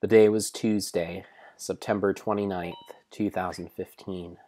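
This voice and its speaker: A man reading mock-dramatic storybook narration of a game, in a theatrical, solemn way with deliberate pauses. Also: sits low, level tone, dark tone. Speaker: live streamer narrating a game.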